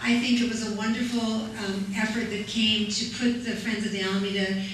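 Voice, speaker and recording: A woman's voice: medium pitch, level tone, fairly quick. A woman speaking as a city council candidate at a public forum, practical and matter-of-fact. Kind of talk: forum answer to a question. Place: community center meeting room. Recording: echoey room from far off, faint noise.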